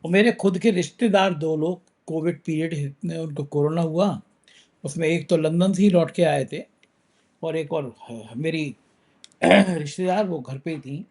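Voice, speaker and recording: A man's voice: a little high, unhurried, mellow. A man hosting a political news talk show, talking with a sore throat in a conversational, emphatic way.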